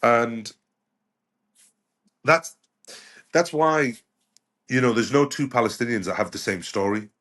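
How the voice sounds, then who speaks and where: A man's voice: medium pitch, lively intonation, slow, long pauses, a bit bright. A middle-aged man who grew up in the UK, a doctor talking as a podcast guest in a reflective, conversational way. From United Kingdom. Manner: reflective, with frequent "you know" and restarts.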